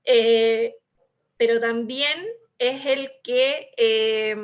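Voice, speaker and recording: A woman's voice: fairly high pitch, fairly even intonation, extremely slow, lo-fi sound. A woman with a Chilean accent, an in-house legal manager speaking on a panel in a discursive, explanatory way with many hesitations.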